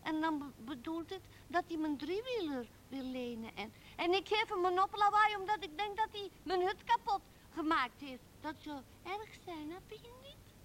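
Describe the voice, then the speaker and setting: A woman's voice: very high voice, animated, normal speed, fairly bright tone, slight background noise. An actress voicing a small, earnest girl, indignant and fanciful, in a childlike piping manner for a radio play. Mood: dreamy, earnest.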